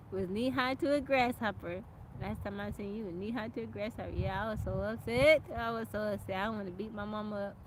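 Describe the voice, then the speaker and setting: Woman streamer on a phone outdoors, lively storyteller, mid pitch, farm ambience and animals audible. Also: normal speed, a little hiss or hum. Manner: animated storyteller, laughs often, thanks viewers by name. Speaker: live streamer. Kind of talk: casual livestream storytelling and chat.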